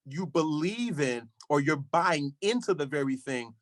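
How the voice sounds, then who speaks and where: A man's voice: fairly high pitch, lively intonation, a little slow, dry recording, clean studio sound. A man guesting on a podcast, talking casually and animatedly, with lots of "you know" fillers and frequent laughs. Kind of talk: casual chat.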